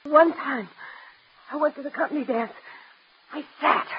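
An actress playing a bitter, self-loathing young working daughter, in an overwrought, ranting radio drama style. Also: female voice, sits high, a little slow. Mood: bitter, wretched. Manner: overwrought, ranting, repeats herself. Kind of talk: melodramatic radio drama acting. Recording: muffled, dull old radio drama recording.

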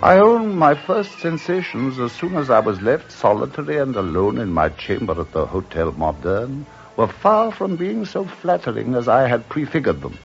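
An actor playing a nonchalant gentleman traveler, in an old radio drama. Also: mid-range voice, sing-song, normal speed, creaky, hardly any pauses, faint music behind. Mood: reflective. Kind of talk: 1950s radio drama acting. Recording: dull lo-fi vintage radio recording.

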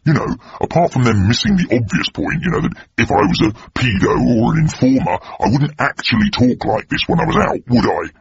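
Man acting aggrieved interviewee, disguised-sounding voice, creaky, swooping pitch, defensive, mock documentary. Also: expressive, brisk, hardly any pauses, lo-fi sound, a little hiss or hum, close to an everyday voice. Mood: exasperated. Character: aggrieved anonymous-sounding man, defensive and exasperated about his voice.

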